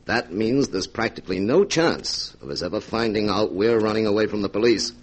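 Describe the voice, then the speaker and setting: An actor in a 1940s radio drama playing a brusque, scheming young fugitive husband who bosses people around in slangy talk. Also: male voice, lowish voice, lively intonation, a little fast, husky. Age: young adult. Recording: 1940s radio recording, organ music behind.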